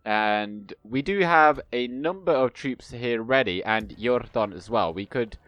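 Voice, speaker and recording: Young male game host, stuffy with a cold, smooth voice, chatty and rambling. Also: mid-range voice, measured pace, a bit bright, no echo. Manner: chatty, rambling, self-correcting. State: congested with a cold. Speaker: gaming video host. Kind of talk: casual strategy game commentary.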